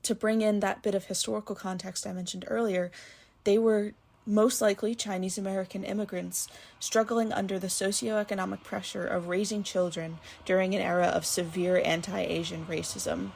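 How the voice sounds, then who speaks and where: A woman with a husky, creaky voice, earnestly reading a prepared analytical commentary in measured, articulate phrasing. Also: medium pitch, flat delivery, a little mellow. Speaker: listener sending a recorded commentary. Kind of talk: prepared spoken essay, literary analysis.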